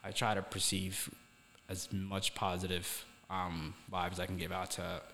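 A man's voice: deep voice, monotone, measured pace, sharp tone, slight background noise. A young man from Somalia chatting casually as a podcast co-host, earnest and philosophical, often repeating words as he searches for the next one. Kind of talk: casual reflective conversation. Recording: echoey hall-like reverb.

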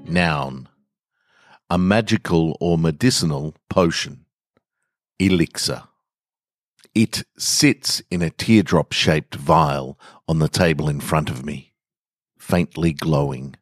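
A man's voice: rumbling low, takes it slowly, pauses often, dry recording, clean studio sound. A man hosting a podcast, talking informally and reading short literary stories aloud as narrator.

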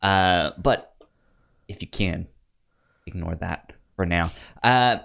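A man's voice: deep voice, slow, creaky, fairly bright tone. A man co-hosting a podcast in casual, informal, conversational chat. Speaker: podcast co-host.

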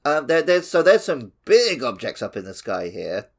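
A man's voice: mid-range voice, big pitch swings, normal speed, gravelly. A man co-hosting a podcast, talking casually through a video game. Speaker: podcast co-host.

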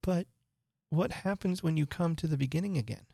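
A woman's voice: low voice, lively intonation, a little fast, creaky, mellow, breathy edge, dry recording, clean studio sound, pitched above the performer's own voice, full character voice. A woman voicing a polite, curious young girl who speaks earnestly. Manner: earnest, wondering.

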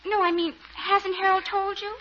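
High-voiced, fretful receptionist. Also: female voice, level tone, moderate pace. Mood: reproachful. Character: Gloria, fretful female radio station receptionist. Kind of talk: 1940s radio comedy acting. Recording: dull, very noisy old radio recording.

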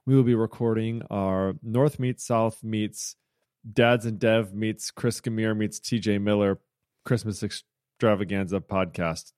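An American man co-hosts a casual podcast with a fairly low voice. He rambles freely and leans on fillers. The sound is dry and close, picked up by wireless earbuds. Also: fairly even intonation, unhurried, a little mellow, smooth, dry recording. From United States. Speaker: podcast co-host.

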